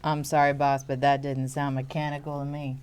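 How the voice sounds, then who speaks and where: A woman's voice: a bass voice, little pitch movement, a little fast, a little mellow, clear, resonant tone. A woman playing an eager but uneasy forensic junior of about thirty in a screenplay table read. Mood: spooked.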